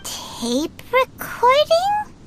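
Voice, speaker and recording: A woman voicing a tiny, curious, excitable fairy girl companion in a video game, with a squeaky childlike voice and a wide-eyed manner. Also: very slow, a little hiss or hum, exaggerated voice. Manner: speaks of self in third person, wide-eyed. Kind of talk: video game character acting. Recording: game music behind the voice.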